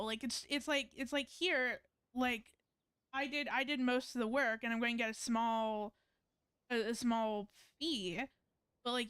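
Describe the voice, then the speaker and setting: Smooth-voiced woman streamer, rambling casually. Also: fairly high pitch, a bit bright, dry recording, clean studio sound. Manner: rambling, colloquial, frequent hedging and self-interruption. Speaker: game streamer. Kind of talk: casual live game commentary.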